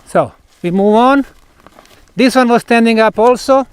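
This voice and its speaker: Slow, high-pitched male tour guide. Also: smooth, no echo. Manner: emphatic, deliberate, short phrases with pauses. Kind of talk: on-site explanatory tour talk. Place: outdoors at a megalithic site.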